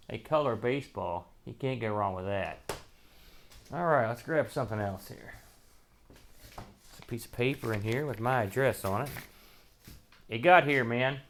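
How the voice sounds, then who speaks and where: A man's voice: mid-range voice, fairly bright tone. A man hosting an unboxing show, giving casual, off-the-cuff commentary in a folksy, colloquial way as he thinks aloud. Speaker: host of a retro computer unboxing show.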